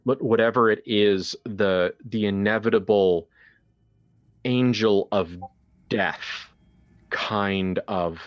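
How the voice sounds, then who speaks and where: A man narrating a horror tabletop game as game master, theatrical and deliberate with long pauses, in dull lo-fi sound. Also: fairly low pitch.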